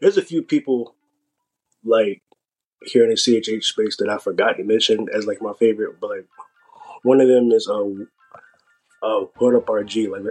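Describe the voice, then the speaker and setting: An American man with a fairly deep, raspy voice gives a casual interview. His delivery is halting, full of pauses and searching for words. Faint music sits behind him. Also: a little mellow, rough recording. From Georgia, United States. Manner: halting, filler-heavy delivery with self-described speech impediment. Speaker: podcast guest, hip hop artist.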